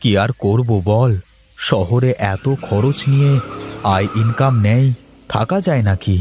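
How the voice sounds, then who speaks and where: A man's voice: lowish voice, slow pace. A hard-up, practical working fellow in a cartoon tale , acted by a man. He talks in a plain, matter-of-fact way over faint insect noise. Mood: resigned. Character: struggling town worker, practical younger brother returning to his village. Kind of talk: cartoon story dubbing.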